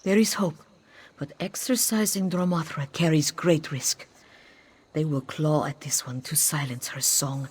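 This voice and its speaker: Woman as low-voiced solemn cat-folk priestess. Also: husky. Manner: formal, speaks of self in third person. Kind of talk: scripted fantasy game dialogue. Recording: background music under the voice.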